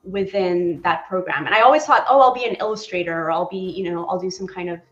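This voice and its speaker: A woman's voice: on the low side, fast talker, dull audio, soft background music. Chatty woman on an echoey call. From Poland. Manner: chatty and animated, with laughs and many fillers. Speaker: animator guest. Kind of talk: casual interview chat.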